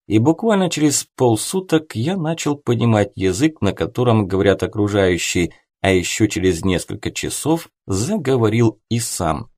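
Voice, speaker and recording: A man's voice: fairly low pitch, a little mellow, husky. A man reading a novel aloud as scripted first-person audiobook narration.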